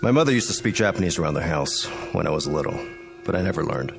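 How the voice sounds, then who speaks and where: A man's voice: extremely low pitch, animated, fast talker, vocal fry, exaggerated voice. An actor playing a guarded, polite young man with an American accent in a radio drama, speaking in a reserved, terse way. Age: young adult. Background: background music.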